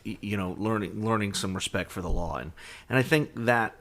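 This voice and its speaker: A man co-hosting a podcast in casual fan discussion, halting and often repeating words as he thinks. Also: fairly low pitch, fairly bright tone. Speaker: podcast co-host.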